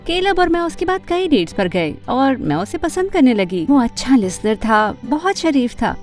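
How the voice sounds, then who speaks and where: A woman playing an ambitious, fashion-loving teenage girl who narrates her own animated story, dramatic and expressive, with English words mixed in. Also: high-pitched, normal speed, faint music behind. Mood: storytelling.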